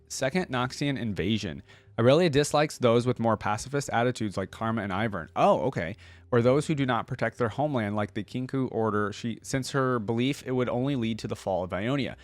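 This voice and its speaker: A man's voice: medium pitch, no room sound. A man hosting a reaction video, reading aloud and commenting casually in a conversational, thinking-aloud way. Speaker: host of a reaction channel.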